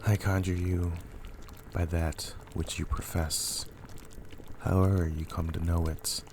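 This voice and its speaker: Grim warrior king played by a man, low voice, slow, imperious, grave, Shakespeare drama. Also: level tone, noisy background. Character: grim, commanding warrior king demanding answers from witches.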